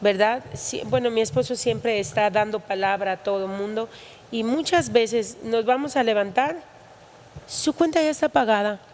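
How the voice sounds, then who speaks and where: A woman's voice: fairly high pitch, moderate pace, darkish tone, a little hiss or hum. A woman from Mexico, a guest pastor, chatting casually and checking in with tag questions. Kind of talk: casual church podcast chat.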